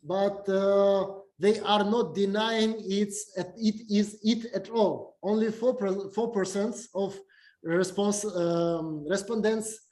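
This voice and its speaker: A man with a Russian accent giving an academic lecture, speaking haltingly with many fillers and restarts. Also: sits high, fairly even intonation, a little mellow. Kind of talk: academic lecture over video call. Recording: echoey video call recording.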